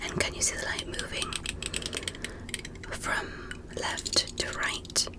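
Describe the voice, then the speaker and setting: A woman whispering softly and breathily in an ASMR medical roleplay, speaking gently and deliberately in short measured phrases as a fascinated alien doctor. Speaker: ASMR performer.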